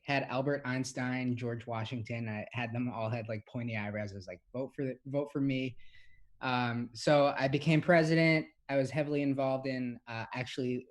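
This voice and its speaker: A young man from Hong Kong, a podcast co-host, telling stories in casual chat with plenty of fillers and restarts. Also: mid-range voice. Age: young adult. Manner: rambling storytelling with many fillers and restarts.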